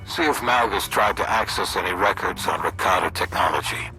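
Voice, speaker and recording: A man's voice: low voice, brisk, pushed and bright, faint music behind, rough recording. A man acting a demanding, obsessive survey leader in a video game, commanding and insistent.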